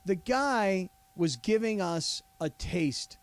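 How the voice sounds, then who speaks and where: High-voiced gravelly guy cohosting sports radio, dry close studio mic, keeps cutting in. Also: slow, dry recording. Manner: animated, interrupts, repeats himself. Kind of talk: sports talk radio banter.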